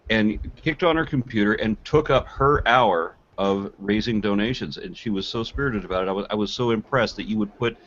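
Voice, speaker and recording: A man's voice: lowish voice, a little fast, hardly any pauses, a bit bright, slight background noise. A man co-hosting a podcast in casual conversational talk, recorded in dull lo-fi call audio. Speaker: podcast co-host.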